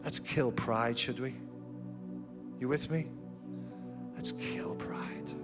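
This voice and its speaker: A man's voice: medium pitch, takes it slowly, over loud music. A middle-aged man preaching a sermon as senior pastor in a church, telling humorous, self-deprecating stories. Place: church auditorium.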